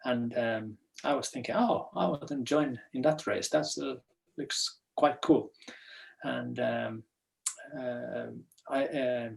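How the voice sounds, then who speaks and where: Norwegian-accented man with a gravelly voice. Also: mid-range voice. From west coast of Norway. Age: 50s. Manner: halting, many fillers and restarts. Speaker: guest, ultra runner. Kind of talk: casual storytelling in a webinar. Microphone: video call.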